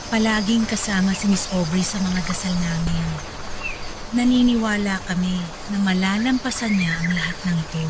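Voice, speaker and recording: A woman acting a kind, supportive character in radio drama dialogue, gentle and reassuring. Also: medium pitch, moderate pace, very noisy, natural voice. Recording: loud music behind the voice.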